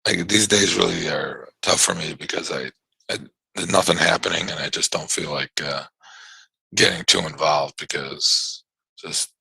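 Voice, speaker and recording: Low gravelly man with a worn voice. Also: normal speed, pushed and bright, no room sound, low-quality recording. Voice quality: gravelly and husky. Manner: rambling, stammering repeats. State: voice worn from yelling. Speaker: trading show host. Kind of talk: casual live trading chat.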